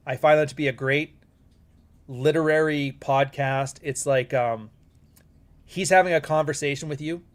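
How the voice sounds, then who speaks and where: Blunt, profane Canadian man chatting live. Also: medium pitch. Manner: blunt and profane, rambling asides. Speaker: livestream host. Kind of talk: casual live-stream chat.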